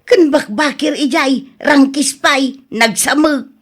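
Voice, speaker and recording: Exasperated farm wife, rather high voice, Ilocano accent, scolding, worried, exclamatory, radio drama. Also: female voice, slow. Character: exasperated, nagging, worried wife of stingy farmer.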